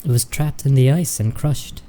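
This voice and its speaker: An actor playing a sober, reasonable imp scout in a radio play, speaking in an understated, formal, matter-of-fact way. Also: male voice, mid-range voice, moderate pace, mellow, natural voice. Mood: subdued. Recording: faint music and insect chirps behind.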